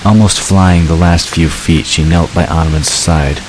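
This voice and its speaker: A man's voice: sits low, steady tone, unhurried. A man narrating a dramatic fantasy story from a script. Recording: clear hiss behind the narration.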